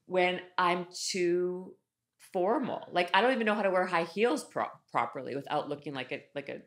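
A woman in her forties from Canada hosting a podcast interview, animated and chatty, restarting sentences with lots of "like", recorded on a boom mic in a studio room. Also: normal speed. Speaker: podcast host. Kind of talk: casual interview chat. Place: studio room with wood-panel wall. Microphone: desk microphone on boom arm.

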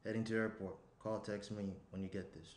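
A man's voice: lowish voice, little pitch movement, brisk, noisy background, natural voice. A young man playing a caring, chatty fiancé in a table read. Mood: brisk, caring. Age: young adult. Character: caring, chatty fiancé leaving a phone message. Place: small room at a round table. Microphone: shared desk microphone. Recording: roomy indoor echo.

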